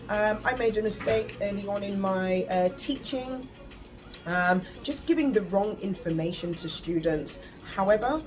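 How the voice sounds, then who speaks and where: Woman, forties, from the uk, english teacher, low voice, unscripted, faint music behind. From United Kingdom. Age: 40s. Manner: unscripted, with frequent fillers and self-corrections. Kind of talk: self-introduction video answering interview questions.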